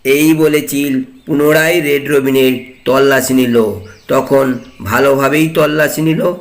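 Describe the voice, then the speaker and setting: A man's voice: medium pitch, steady tone, a little slow. A man narrating a scripted storybook tale for a cartoon.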